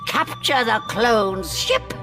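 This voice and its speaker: Creaky old alien villainess. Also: female voice, fairly high pitch, animated, takes it slowly, over music. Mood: scheming, imperious. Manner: imperious, theatrical. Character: old villainous alien witch queen, commanding and vicious. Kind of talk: sci-fi audio drama acting.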